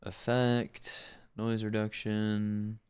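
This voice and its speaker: Man, low pitch, unhurried casual livestream talk, joking, offhand, smooth resonant tone. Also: completely flat delivery, darkish tone. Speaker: streamer and voice actor.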